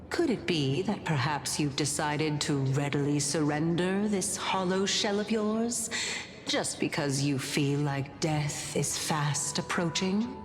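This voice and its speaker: An actress playing a playful, curious deity of reason who teases and muses theatrically in a video game. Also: female voice, low voice. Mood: sly probing. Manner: playful, theatrical, leisurely, musing. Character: teasing, curious female deity of reason living in a man's mind. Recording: long reverb, music underneath.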